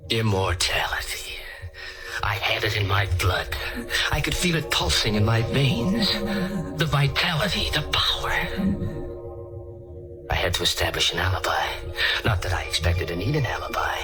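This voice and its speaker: An actor playing a smug, uneasy immortal murderer in a 1940s radio thriller, with melodramatic, brooding delivery. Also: male voice, fairly low pitch, sing-song, moderate pace, long pauses. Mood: gloating, sinister. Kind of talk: 1940s radio acting, first-person thriller narration. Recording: old radio play with organ music.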